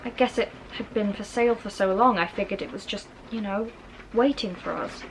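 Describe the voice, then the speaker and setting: A woman reading a storybook, voicing a bookish eleven-year-old girl who is prim, earnest and quick to correct. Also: mid-range voice, slight background noise, close to an everyday voice, rough recording. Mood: sad, disbelieving. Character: bookish, disapproving eleven-year-old schoolgirl twin sister. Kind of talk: children's storybook character reading.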